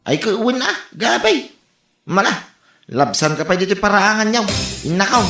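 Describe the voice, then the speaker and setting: A man's voice: high voice, lively intonation, moderate pace, gravelly, bright, forceful tone, heavily acted. An actor playing an exasperated working man pestered by neighbours in an Ilocano radio comedy, grumbling and exclaiming with an Ilocano accent. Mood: put-upon. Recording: roomy echo.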